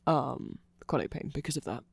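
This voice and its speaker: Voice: medium pitch, normal speed, creaky, breathy edge, no echo. A person of unclear sex giving a rambling ASMR explanation of fan lore, often getting flustered, trailing off and correcting themselves with amused enthusiasm.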